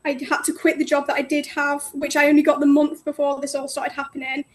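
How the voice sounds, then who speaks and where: Bubbly high-voiced young woman on the phone. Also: monotone, fairly quick. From North Wales. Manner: friendly, bubbly, outgoing. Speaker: guest, cleaning business owner. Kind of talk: casual interview chat. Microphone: phone call.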